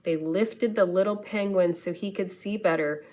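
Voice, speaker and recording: A woman reading a children's story aloud like a schoolteacher, with expressive, dramatic storytelling. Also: mid-range voice, normal speed.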